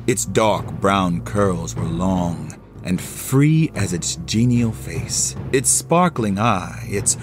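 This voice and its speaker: A man narrating a classic story as a solo audio play, with theatrical, deliberate phrasing. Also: sits low, lively intonation. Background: music under the voice.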